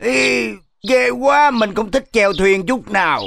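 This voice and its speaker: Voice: mid-range voice, expressive, a little slow, gravelly, background noise. An actor voicing a grumpy, bumbling, greedy wolf villain in a children's cartoon, whining and complaining. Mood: disgusted. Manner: whiny, complaining, exclamatory. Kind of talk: cartoon fairy tale dub. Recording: music behind the voice.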